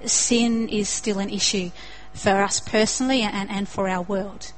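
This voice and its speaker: A woman's voice: mid-range voice, fairly even intonation, unhurried, a little hiss or hum, low-quality recording. A young woman with an Australian accent preaching a sermon in an earnest way, building to emphatic repetition.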